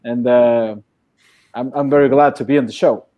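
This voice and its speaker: A man from Mexico with a Mexican accent, a bass player who rambles, hesitates and jokes at his own expense in a casual interview. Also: fairly even intonation, moderate pace, muffled recording. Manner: rambling, self-deprecating, hesitant with fillers.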